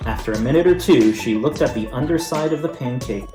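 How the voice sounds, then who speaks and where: A man, a teacher, reading a children's picture book aloud with slow, deliberate storytelling. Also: medium pitch. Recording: roomy room with background music.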